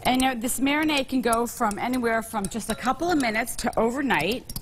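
A woman's voice: on the high side, brisk, slight background noise. A middle-aged woman guest chef giving a cooking demonstration on a TV show, friendly and explanatory with frequent fillers. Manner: friendly explanatory, with many "um"s and "you know"s. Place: TV studio kitchen set.

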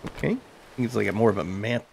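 A man's voice: lowish voice, lively intonation, quick pace, creaky, slight background noise. A man giving casual, tentative let's-play commentary, thinking aloud and reading on-screen text as he plays. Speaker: gaming video host. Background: game music.

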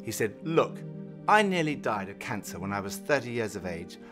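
An older man tells stories in an earnest teaching talk, his pitch rising and falling with real liveliness. Music plays clearly beneath his voice. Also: big pitch swings, normal speed. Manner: earnest storytelling, acts out quoted voices. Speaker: course presenter. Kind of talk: recorded Bible teaching talk. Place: home-like room indoors.

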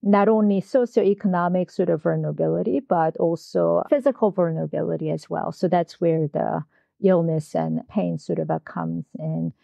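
Low, gravelly-voiced woman scholar. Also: fairly even intonation, normal speed, warm tone, no echo, dull audio. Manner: hesitant, many fillers and restarts. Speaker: guest scholar, history professor. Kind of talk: academic interview answers.

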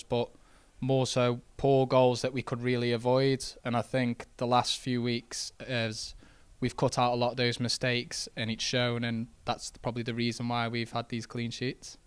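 Flat-voiced young footballer. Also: male voice, mid-range voice, moderate pace, clear, resonant tone, no room sound. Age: young adult. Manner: relaxed, modest, many fillers and restarts. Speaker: footballer after a match. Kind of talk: post-match interview. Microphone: handheld interview mic.

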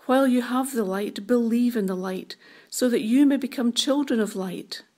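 A woman giving a formal Bible reading in a measured, deliberate way. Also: fairly high pitch, darkish tone. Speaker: scripture reader in a church service.

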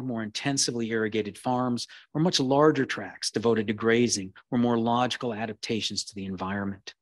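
A man giving a keynote history lecture, reading in a measured way with occasional restarts. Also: mid-range voice, dry recording. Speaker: keynote speaker.

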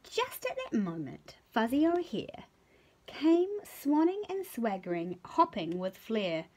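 Animated, unhurried New woman reading aloud. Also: a little high. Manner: drawn-out, playful emphasis with hushed moments. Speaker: picture-book reader. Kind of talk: expressive children's story reading. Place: small furnished room at home.

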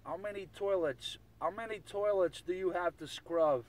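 A man's voice: high-pitched, moderate pace. A man taunting others in casual banter over CB radio, with a mocking, needling manner. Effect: CB radio transmission. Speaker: amateur CB radio operator. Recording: distant, very noisy CB radio.

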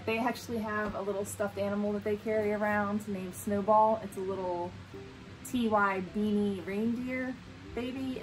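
A woman in her thirties with a mid-pitched voice talks casually to camera in a room at home. She explains things informally, like a family vlog host, while music plays clearly behind her. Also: low-quality recording. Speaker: homeschooling parent and vlog host. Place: home room with bookshelf, indoors.

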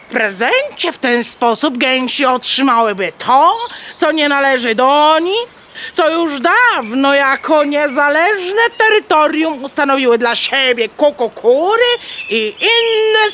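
A woman's voice: sits high, sing-song, slow, sharp tone, slight background noise, heavily acted. A woman voicing a bossy, clever mother hen in a children's audio drama, declaiming and clucking between her words. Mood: determined, conspiratorial.

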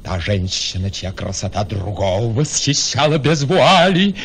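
An actor playing a proud, jealous gentleman in a verse radio play, with a declamatory, dramatic delivery. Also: fairly low pitch, fairly even intonation, unhurried, slight background noise. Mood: scornful, indignant. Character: proud, jealous gentleman, scornful of a compromised bride.

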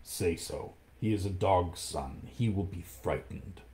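A man doing a hushed low panther. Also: unhurried, vocal fry. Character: calm watchful male panther, low-voiced.

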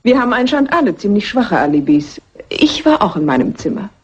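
A woman's voice: medium pitch, very animated, brisk, husky. An actress playing a cool upper-class married woman under suspicion in a dubbed classic crime film. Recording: muffled old film soundtrack.

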